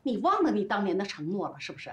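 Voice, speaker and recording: A woman's voice: medium pitch, sing-song, quick pace, fairly bright tone. An older woman acting in a TV drama as a bossy, fiercely protective family friend, scolding and giving emphatic orders. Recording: echoey room, faint background music.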